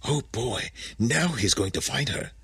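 A man voicing an exuberant, reverent talking bear from a storybook, with declamatory, drawn-out exclamations. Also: medium pitch, sing-song, creaky, husky, no room sound, voice pushed up, full character voice. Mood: gleeful. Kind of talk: dramatic storybook character voice.

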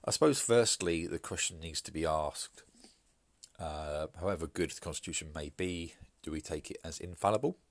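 A man hosting his own podcast, talking through an opinion piece in a measured, hesitant way with frequent fillers and restarts, sounding congested and bunged up from hay fever. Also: very deep voice, no echo. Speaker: podcast host. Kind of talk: solo podcast commentary.

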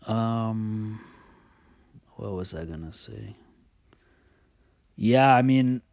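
A man telling personal stories in a casual, confessional way, halting and thinking aloud as he often loses his thread. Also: lowish voice, animated, creaky, a little mellow, dry recording.

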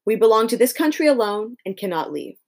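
A woman's voice: fairly high pitch, very animated, brisk, pitched above the performer's own voice. Casting: dutiful storybook monkey king, voiced by a woman, formal, firm, varied intonation.

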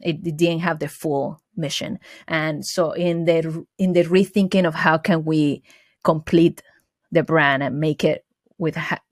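A woman's voice: low voice, fairly even intonation, unhurried, no room sound, clean studio sound. A woman from Venezuela with a Venezuelan accent, a guest talking earnestly about her small business and often restarting words mid-thought. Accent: Venezuelan Spanish. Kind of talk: casual interview chat.

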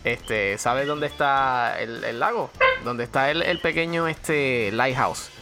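A man, a photographer telling a story slowly with many hesitations. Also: mid-range voice, over loud music. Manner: hesitant, many fillers, slow. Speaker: photographer guest. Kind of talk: casual storytelling.

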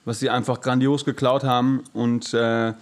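Man, average pitch, casual podcast chat, colloquial, hedging, conceding then countering. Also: flat delivery, normal speed. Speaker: podcast co-host.

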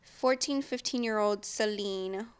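A woman's voice: mid-range voice, a little slow, clear, resonant tone. A woman in her twenties chatting casually with a friend, using lots of fillers and laughing easily. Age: 20s. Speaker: young mom in a casual chat. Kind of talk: casual chat.

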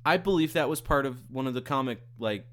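A man's voice: mid-range voice, a little fast. A man with an Oklahoma accent, co-hosting a podcast in casual chat, ranting and swearing. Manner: profane, ranting, animated. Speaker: podcast co-host.

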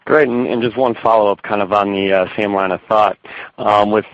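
Low-voiced man on a dull call line. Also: steady tone, fairly quick, dry recording. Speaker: financial analyst asking questions. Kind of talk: questions on an earnings call. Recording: dull, dry conference call audio.

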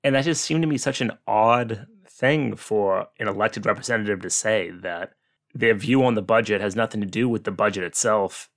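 A man hosting a commentary series, explaining things in a measured, opinionated way with some asides. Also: lowish voice, a bit bright. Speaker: host of a local politics series.

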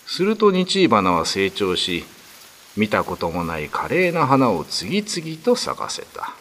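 A man's voice: fairly low pitch, huge pitch swings, a little slow, fairly bright tone, a little hiss or hum. A man narrating a classic ghost story as a dramatic scripted reading.

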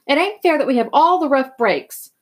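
A woman's voice: high-pitched, lively intonation, moderate pace, voice pushed up. Storybook teenage boy, played by a woman, sensitive and defensive, slangy and emphatic. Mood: passionate protest. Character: sensitive, hot-tempered teenage boy narrator, bitter and defensive.

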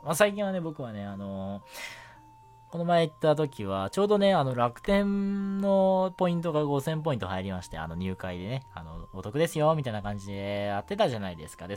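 Young male radio host, casual and rambling, self-effacing, animated intonation over light background music. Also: medium pitch. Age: young adult. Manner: giggly, self-deprecating, rambling. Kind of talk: casual solo radio chat.

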